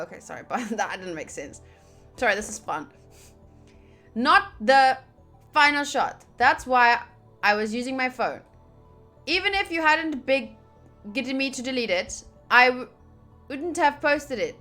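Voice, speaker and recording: Female playthrough host, bright and fairly high, chatty live commentary mixed with read-aloud text, music underneath. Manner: chatty, addresses viewers, comments between readings. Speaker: streamer playing a visual novel. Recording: game music behind the voice.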